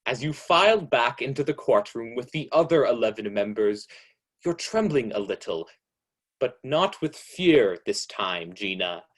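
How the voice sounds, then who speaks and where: A man playing an ominous, all-knowing radio mystery narrator who speaks in a sardonic, accusing and deliberate way. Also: medium pitch, sing-song. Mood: sinister, taunting. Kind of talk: old-time radio mystery narration, second person.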